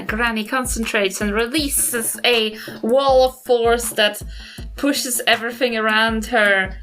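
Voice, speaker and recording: A woman's voice: medium pitch, pushed and bright, rough recording. A woman with a New Zealand accent chatting as a player in a tabletop game, in a hesitant, giggly manner. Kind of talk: casual game chat. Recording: music bed behind voice.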